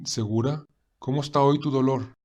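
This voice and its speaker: A woman voicing a hurried, tense young male actor with tenderness underneath, in an audio story. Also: very deep voice, fairly even intonation, dark tone, pitched below the performer's own voice. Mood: hurried, concerned. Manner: terse, hurried.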